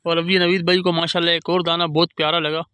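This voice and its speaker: Bright, high-voiced man vlogging on a beach. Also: little pitch movement, quick pace, smooth. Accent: Karachi Urdu. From Pakistan. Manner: chatty, keeps addressing friends, frequent pious interjections. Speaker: fishing vlogger. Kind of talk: casual outdoor vlog narration. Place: night beach campsite by a fire, outdoors.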